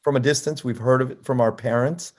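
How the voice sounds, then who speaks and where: A man's voice: mid-range voice, steady tone, fairly quick. A middle-aged man hosting a podcast interview, asking questions in a hesitant way and often repeating words. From United States. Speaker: podcast host. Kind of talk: conversational interview.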